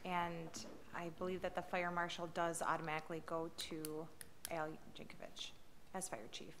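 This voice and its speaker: A woman's voice: lowish voice, monotone, moderate pace, a bit bright, slight background noise. A woman on city staff giving a formal, matter-of-fact report to the council.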